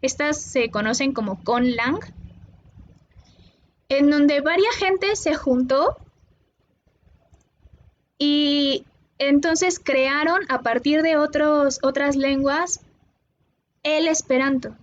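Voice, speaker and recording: A Mexican woman tutoring linguistics online, explaining patiently and pausing often with "eh". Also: high-pitched, muffled recording. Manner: patient, explanatory, with many "eh" pauses.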